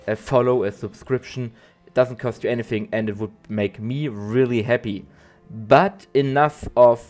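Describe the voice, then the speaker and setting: A man, a bit nasal from allergies, doing a halting voiceover for a game build video with lots of ums and restarts. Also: mid-range voice. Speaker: zoo-building game creator. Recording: soft guitar music behind.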